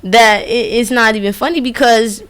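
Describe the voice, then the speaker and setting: A woman's voice: a little high, fairly even intonation, moderate pace, clean tone. A woman radio host in casual conversation, speaking in an earnest, emphatic, colloquial way. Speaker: radio DJ and interviewer.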